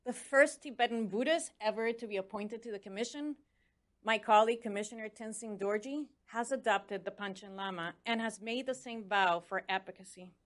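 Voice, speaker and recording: A woman's voice: medium pitch, brisk. A woman whose first language is Spanish, speaking with a Spanish accent as she gives a prepared speech as a commissioner, earnest and urging. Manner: earnest, urging, stumbles over foreign names. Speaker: commissioner on religious freedom.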